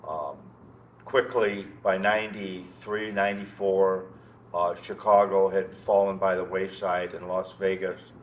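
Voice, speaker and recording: An older American man in his sixties reminiscing conversationally as an interview guest, halting and often repeating words. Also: low voice, level tone. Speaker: interview guest, loudspeaker maker. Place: indoor show room, seated. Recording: noticeable background noise, room ambience.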